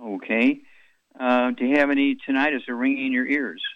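A man's voice: mid-range voice, steady tone, no echo, lo-fi sound. Rambling man hosting a radio call-in. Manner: rambling, brisk rapid-fire questions. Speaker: radio show host. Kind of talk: radio call-in health advice.

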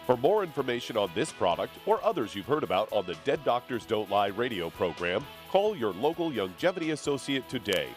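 A man reading scripted radio announcements and ad copy in a smooth, emphatic announcer manner. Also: mid-range voice, sing-song, a little fast, hardly any pauses, soft background music. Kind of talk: scripted ad read.

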